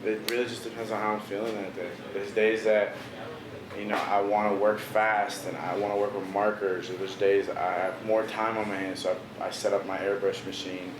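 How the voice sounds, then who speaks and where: A man's voice: on the low side, little pitch movement, moderate pace, crowd noise. A young man from the Washington DC area, a visual artist chatting casually as an interview guest, laid-back and full of "bro" and "you know". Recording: echoey room with noticeable background noise.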